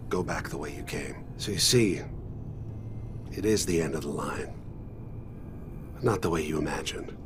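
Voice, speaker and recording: A man playing a cold, world-weary mercenary killer in a video game, deliberate and taunting. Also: rumbling low, background noise. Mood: calm menace.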